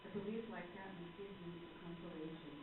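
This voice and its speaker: A woman's voice: fairly low pitch, steady tone, a little fast, natural voice. Distant, echoey actress as curious young woman. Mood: politely curious. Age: young adult. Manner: polite, inquisitive. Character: polite, curious young woman, a magician's assistant. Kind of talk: radio play acting. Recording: very echoey, distant, muffled, noisy.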